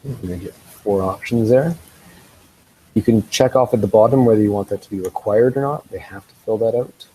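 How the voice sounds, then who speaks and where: A man's voice: lowish voice, fairly quick, slightly rough, low-quality recording. Congested man walking through a tutorial. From Canada. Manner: relaxed, explanatory, with ums and uhs. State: congested from a head cold. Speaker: school tech trainer. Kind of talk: screen-share software tutorial.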